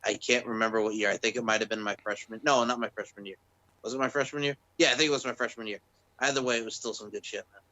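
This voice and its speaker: A man's voice: on the low side, steady tone, fast, bright, forceful tone, no room sound. An American man co-hosting a casual podcast, laid-back and given to swearing, reeling off film trivia. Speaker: podcast co-host.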